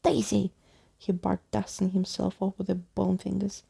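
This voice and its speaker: A woman storyteller reading aloud in a soft-spoken ASMR bedtime style. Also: mid-range voice, monotone, moderate pace, creaky, husky.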